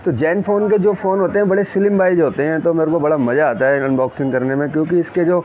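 A man with a slightly high voice presents a product in a casual, unscripted way. He speaks straight to his viewers and repeats phrases as he goes. The sound is a bit dull and lo-fi, with some background noise. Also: quick pace. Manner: repetitive, addresses viewers directly. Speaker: tech reviewer hosting an unboxing video. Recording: dull lo-fi audio, clear ambient noise.

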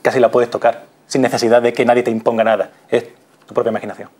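A man's voice: mid-range voice, level tone, very fast, darkish tone. A man in his thirties with an accent from Spain, an actor explaining things thoughtfully and emphatically as a studio interview guest. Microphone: lapel mic.

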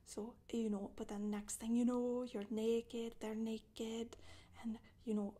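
A woman playing a chatty, boastful witch in a soft-spoken ASMR monologue, rambling and gossipy, often slipping into a whisper. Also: fairly high pitch, flat delivery, slow pace. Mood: confiding. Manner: rambling, gossipy, casual asides. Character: Scottish witch woman confessing, matter-of-fact, chatty, boastful. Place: small indoor room, seen seated.

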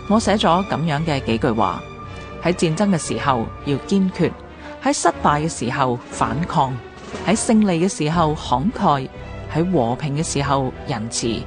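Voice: mid-range voice, lively intonation, moderate pace. A narrator with a Hong Kong Cantonese accent reading a scripted historical radio narration. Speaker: documentary narrator. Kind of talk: scripted dramatic narration with read quotations. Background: music.

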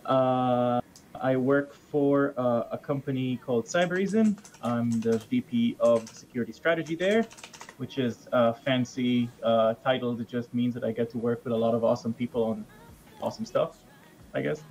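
A man's voice: medium pitch, normal speed, darkish tone, a little hiss or hum. A man in his thirties from Israel with an Israeli accent, chatting as a guest over a headset on a video call, hesitant with many fillers and restarts. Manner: hesitant, lots of uhs and restarts. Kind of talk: casual chat. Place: home room. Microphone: headset, webcam video call.

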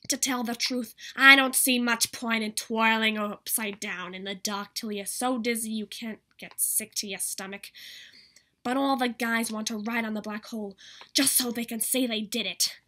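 A woman's voice: on the high side, fairly even intonation, moderate pace, sharp tone. A teenage girl voicing an annoying young child who recites a stage monologue emphatically. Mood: whiny, insistent.

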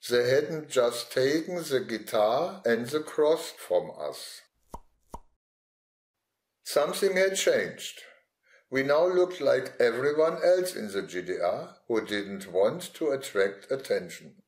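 A man's voice: mid-range voice, big pitch swings, slow, roomy sound. A man giving a scripted first-person reminiscence as a witness looking back. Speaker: witness recalling past experience.

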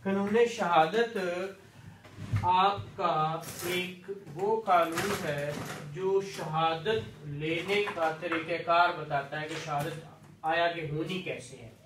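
A man's voice: a little high, slow, background noise. Pakistani guy teaching a law class, keeps quizzing and repeating, drops english terms, roomy echo. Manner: didactic, repeats key terms, checks understanding often; mixes in English legal terms. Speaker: law lecturer. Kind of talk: classroom lecture with questions to students. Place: small indoor teaching room with whiteboard. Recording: echoey room, mic at a distance.

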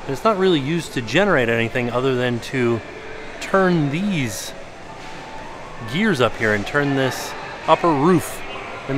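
A man's voice: mid-range voice, background noise. Chatty man narrating a walking tour. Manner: chatty, curious, thinking aloud. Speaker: vlogger touring a resort. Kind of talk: casual walking-tour commentary. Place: indoor restaurant hall and outdoor resort grounds.

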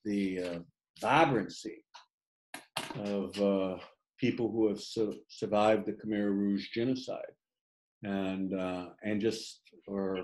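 A man who writes, speaking about his book on a video call in a halting, thoughtful way with many fillers. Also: on the low side, fairly even intonation, darkish tone, roomy sound, a little hiss or hum, poor audio. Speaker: poet reading his work. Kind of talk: reflective talk in an online reading. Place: home room on a video call.

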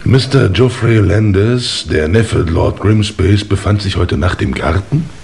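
An actor playing a courteous, methodical chief inspector in a 1950s German radio play, formal and probing. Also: male voice, sits low, a little slow, gravelly, darkish tone.

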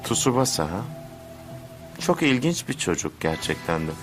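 A man's voice: on the low side, very animated, normal speed, soft background music. A man playing a scheming, fussy villain boss in a cartoon dub, with a theatrical, commanding manner. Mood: smug, calculating. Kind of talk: Turkish cartoon dub acting.

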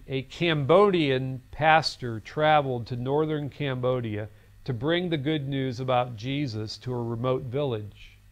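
A man in his fifties giving a recorded seminary lecture in a studio classroom set, speaking earnestly and telling a story on a lapel mic. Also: mid-range voice. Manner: earnest, storytelling, gestures while talking.

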